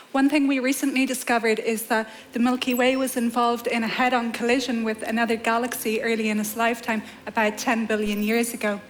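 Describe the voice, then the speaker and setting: A woman speaking as a guest scientist on a theatre stage, explaining her field to a lay audience. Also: fairly high pitch.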